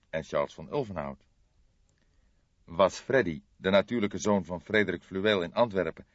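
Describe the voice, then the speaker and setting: Deep-voiced man narrating an audiobook. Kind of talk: scripted narration of a detective novel. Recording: dull lo-fi, boxy roomy sound.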